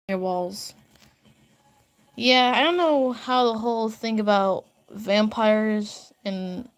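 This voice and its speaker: A young woman chatting casually on a livestream at home, rambling, giggling and getting sidetracked while reading comments. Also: mid-range voice. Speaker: livestreaming artist. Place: home bedroom upstairs.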